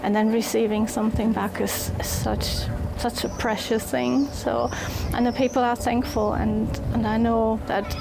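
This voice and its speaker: A woman in her fifties from Germany with a German accent gives a reflective, earnest personal testimonial as a volunteer, pausing and repeating phrases. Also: a little high, level tone, low-quality recording. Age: 50s. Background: clear music under the voice.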